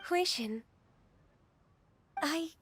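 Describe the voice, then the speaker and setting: An actress playing a gentle, dutiful, ageless woman secretary in a video game, polite and earnest. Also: very high voice, expressive, extremely slow, a little hiss or hum, heavily acted. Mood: moved, wistful. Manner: polite, earnest, soft-spoken. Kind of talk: English video game voice acting.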